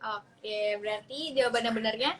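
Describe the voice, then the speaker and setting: A woman's voice: fairly high pitch, normal speed, slight background noise. A woman casually hosting a party game, upbeat and prompting the guests along. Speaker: host.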